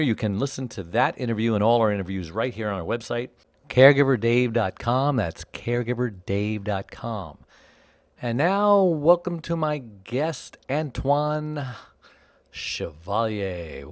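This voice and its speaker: A man's voice: mid-range voice, sing-song, normal speed, no echo. A man hosting a radio talk show with an enthusiastic, jokey manner, stretching words for emphasis. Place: Los Angeles.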